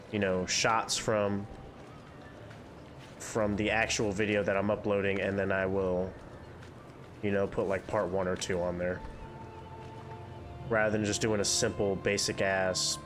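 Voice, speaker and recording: A man's voice: fairly low pitch, little pitch movement, a little slow, pauses often, music in the background. A young man with a congested voice, chatting in a rambling, candid way while hosting a game playthrough. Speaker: gaming channel host. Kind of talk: casual chat during a game playthrough.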